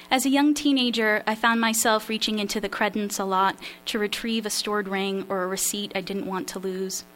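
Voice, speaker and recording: A woman's voice: medium pitch, fairly even intonation, unhurried, pauses often, a bit bright, rough recording. A woman writer reading a scene from her memoir aloud, a little nervous and self-deprecating. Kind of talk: memoir reading at a literary event.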